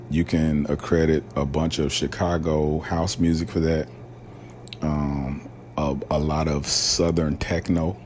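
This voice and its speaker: A man with an extremely deep, low voice hosts a solo podcast and talks in a loose, rambling way, full of fillers and slang. He grew up in rural Alabama. A faint hiss of noise sits under the recording. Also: a little mellow. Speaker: podcast host. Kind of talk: casual solo podcast talk.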